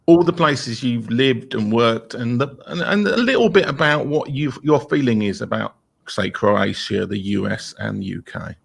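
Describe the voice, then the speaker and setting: A man's voice: medium pitch, moderate pace, vocal fry, husky. A man hosting a casual online interview, introducing his guest in a rambling, hesitant way with many fillers. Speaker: host.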